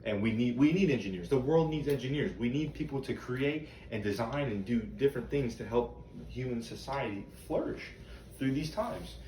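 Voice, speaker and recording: A man's voice: mid-range voice, animated, normal speed, darkish tone, close to an everyday voice. An American man playing an earnest motivational teacher, giving students a rambling, emphatic, colloquial monologue. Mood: urging, emphatic. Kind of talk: self-written monologue, motivational speech. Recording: echoey room, distant mic, background noise.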